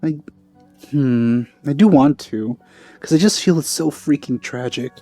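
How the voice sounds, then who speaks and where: Mellow, musing man commenting on a game. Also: medium pitch, soft background music. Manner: musing, halting, informal. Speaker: gaming video host. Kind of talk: casual let's-play commentary.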